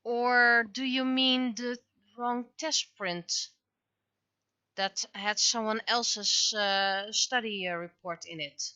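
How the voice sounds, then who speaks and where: A woman's voice: on the high side, takes it slowly, bright, forceful tone, clear, resonant tone, lo-fi sound. A woman livestreamer chatting casually while she draws, often muttering to herself as she works. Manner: mutters to self while working. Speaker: livestreamer and webcomic artist.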